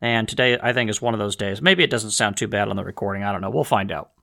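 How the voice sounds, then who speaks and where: A man's voice: on the low side, fast talker, vocal fry, fairly bright tone. A man hosting a solo history podcast, his voice tired after a long workday, rambling casually with frequent restarts and fillers. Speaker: podcast host. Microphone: headphones on, home podcast mic.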